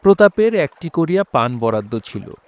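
A man reading a scripted literary story as narrator, in measured, formal diction. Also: a little high, very animated, a little hiss or hum. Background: faint music.

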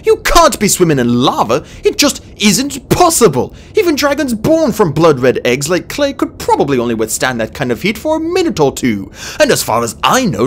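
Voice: mid-range voice, gravelly, sharp tone, breathy edge, voice pushed up, exaggerated voice. A narrator voicing a nervous, bookish young male dragon in an audiobook, urgent and easily flustered. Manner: stammering, incredulous, urgent.

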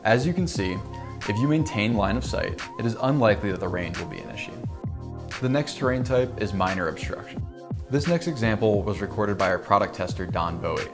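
A man's voice: fairly low pitch, a little fast. Young man explaining radio range. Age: young adult. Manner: clear, even, informative delivery. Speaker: company presenter. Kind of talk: scripted product explainer. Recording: music bed underneath.

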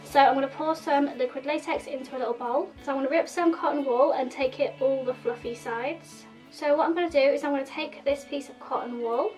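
High-voiced woman, slightly nasal. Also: a little fast, few pauses, a little mellow, far from the mic, faint music behind, rough recording. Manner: chatty step-by-step explaining. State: slight sore throat, a bit nasal. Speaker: makeup vlogger. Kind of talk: casual tutorial talk to camera. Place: indoor room in front of a red plaid backdrop.

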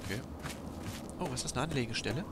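A man's voice: mid-range voice, lively intonation, fast. A man giving casual, chatty commentary while playing a video game, exclaiming at surprises. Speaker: let's play gamer. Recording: loud game noise under voice.

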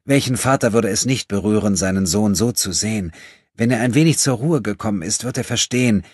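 A man voicing a conciliatory woman in an audiobook, gentle in manner yet firm. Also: fairly low pitch, fairly quick, breathy edge, no echo, studio quality, pitched above the performer's own voice. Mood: soothing. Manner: soft but firm, conciliatory. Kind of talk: audiobook character acting.

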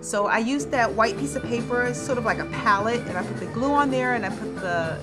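A woman's voice: on the high side, a little fast. A woman in her thirties giving a casual, chatty, informal makeup tutorial as a beauty vlogger. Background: radio music playing in the background.

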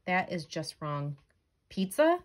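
A woman's voice: fairly low pitch, very animated, slow pace. A woman voicing an earnest, exasperated jellyfish friend in a storybook, questioning and coaxing. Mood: incredulous.

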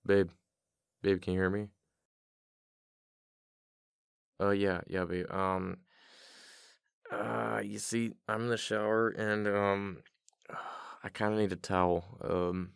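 Male actor as weary affectionate husband, deep voice, thick vocal fry, close dry sound, halting casual delivery, mildly exasperated, ASMR roleplay. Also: little pitch movement, no echo. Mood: sheepish, calling out. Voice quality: deep, gravelly. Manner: casual, halting, sighing, intimate. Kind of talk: boyfriend ASMR audio roleplay.

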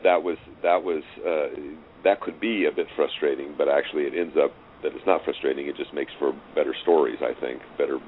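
A middle-aged man speaking as an interview guest, reflecting casually in a halting, thoughtful way. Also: a bass voice, fairly even intonation. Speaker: interview guest, radio drama writer. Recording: noisy, muffled phone-like line.

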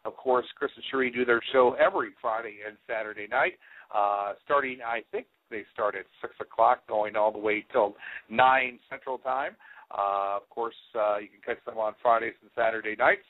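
A man's voice: medium pitch, moderate pace. A man in his forties hosting a radio show, casually rambling through announcements with frequent hesitations on a headset mic. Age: 40s. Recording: phone-like, band-limited internet radio sound.

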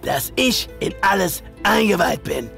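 An actor playing a sinister, gloating secret killer in an audio drama monologue, smug and scornful. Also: male voice, high voice, lively intonation, unhurried, gravelly, sharp tone, faint music behind, heavily acted.

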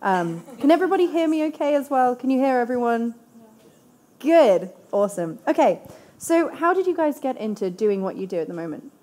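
A woman's voice: high-pitched, very animated, brisk, dark tone. A woman moderating a panel in a small event space before a seated audience. She speaks casually into a handheld mic, with a few fillers. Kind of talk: live panel hosting.

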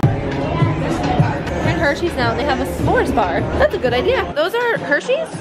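A young woman with a high voice talks casually into a handheld camera for a travel vlog. She is giggly and exclamatory. Loud music and busy crowds surround her in streets, shops and restaurants. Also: sing-song, unhurried, over a noisy crowd. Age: 20s. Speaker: travel vlogger. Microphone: handheld camera at arm's length.

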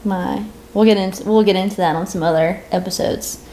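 A woman in her twenties talks as a podcast co-host in an easy, casual register. She is effusive and quick to poke fun at herself, with "like" peppered through her sentences. Her pitch sits in the middle for a woman. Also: steady tone, warm tone, slight background noise. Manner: effusive, self-deprecating, peppers talk with "like". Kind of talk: casual chat about books. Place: living room sofa, indoors.

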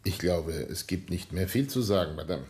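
An actor playing a composed, relentless police inspector in a radio drama, speaking formally and insistently. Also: male voice, low-pitched, lively intonation, moderate pace, a little mellow. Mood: stern, composed. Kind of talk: German radio drama acting.

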